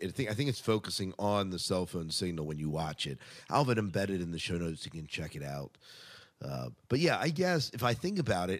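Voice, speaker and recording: Low-voiced man chatting as podcast host. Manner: conversational, tosses questions to co-host. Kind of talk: casual movie news chat.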